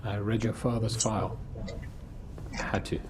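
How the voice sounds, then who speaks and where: A man playing a calm police sergeant leading an investigation in a film-like video game. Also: on the low side, moderate pace, noisy background, lightly acted. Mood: grave, explanatory. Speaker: actor in a story game. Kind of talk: naturalistic film acting. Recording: game audio with music behind.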